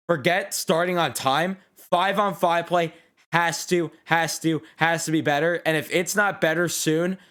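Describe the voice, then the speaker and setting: A man's voice: on the high side, fairly bright tone, smooth. A tired young man giving a blunt, casual post-game reaction, swearing freely as he says he is losing his voice. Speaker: sports reaction host.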